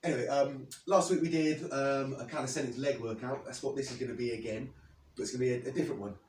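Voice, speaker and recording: A man in his thirties, slightly hoarse after losing his voice, explaining and demonstrating leg exercises step by step as a fitness coach. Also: medium pitch, fairly even intonation, fast talker, a little mellow. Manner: plain, step-by-step coaching while demonstrating moves. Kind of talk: instructional workout demo. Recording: echoey, from a distance.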